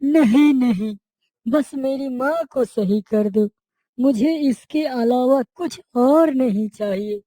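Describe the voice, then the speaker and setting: Woman as a slow, gentle village lad. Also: high voice, no echo, rough recording. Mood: earnest, pleading. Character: simple kind-hearted young village son, humble. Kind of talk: cartoon moral story dialogue.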